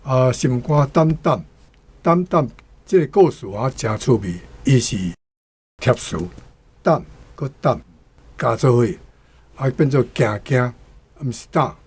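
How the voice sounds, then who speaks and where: A man speaking as a guest, explaining the meaning and pronunciation of a word in an unhurried way. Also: medium pitch, animated, a little mellow, husky. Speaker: guest commentator on language. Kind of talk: explanatory talk.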